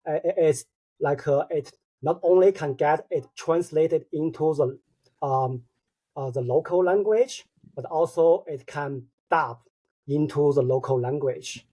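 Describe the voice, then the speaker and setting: A man with a Chinese accent, a linguist guest who speaks haltingly with many pauses. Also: fairly high pitch, dark tone, husky, rough recording. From China. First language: Mandarin.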